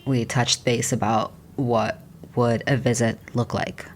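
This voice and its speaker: A woman's voice: rumbling low, steady tone, measured pace, slight background noise. A woman hosting a podcast, chatting casually with a guest and narrating between talks. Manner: casual, conversational. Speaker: podcast host.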